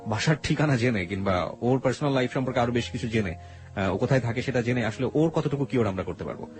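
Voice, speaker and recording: A male radio host with a Bangladeshi accent speaks at a brisk pace in a medium-pitched voice. He questions a guest and makes polite, explanatory appeals to his audience. Music plays clearly underneath. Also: expressive. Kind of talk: interviewing and addressing listeners. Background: background music.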